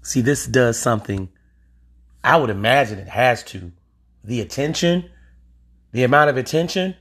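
A man's voice: medium pitch, expressive, normal speed, fairly bright tone, breathy edge. Emphatic man in his forties. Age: 40s. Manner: emphatic, opinionated, with occasional swearing. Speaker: podcast host. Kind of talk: solo podcast monologue.

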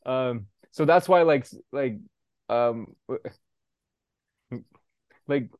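A man's voice: mid-range voice, dry recording. A young man in casual podcast chat, blunt and profane, ranting with lots of fillers. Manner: blunt, profane, fast-shifting rants. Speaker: podcast co-host.

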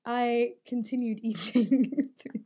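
Young woman, slightly high voice, giggly, animated storyteller, sleep-deprived. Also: slow. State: tired from no sleep. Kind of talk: casual chat.

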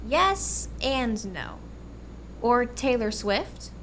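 Lady acting a busy american mom in a radio play, high voice, patient and motherly, faint noise behind. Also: very animated, a bit bright, heavily acted. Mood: coaxing. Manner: brisk, motherly. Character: busy, patient mother, middle-aged, gently coaxing.

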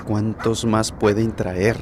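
A man's voice: on the low side, flat delivery, slow, a little mellow, slight background noise. A man playing an uneasy, hesitant detention guard in radio drama dialogue. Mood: worried.

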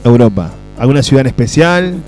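A man hosting a radio interview with a Rioplatense Spanish accent, joking and teasing his guest, often dropping into low asides. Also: big pitch swings, brisk, darkish tone. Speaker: radio host. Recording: music playing behind the voice.

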